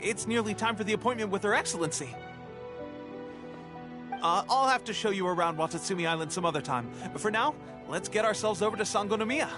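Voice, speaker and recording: An actor playing an eager, chatty, loyal young soldier in a video game, with a boyish, casual and expressive delivery. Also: male voice, high-pitched, brisk, over music, close to an everyday voice. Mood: hurried. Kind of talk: scripted video game dialogue.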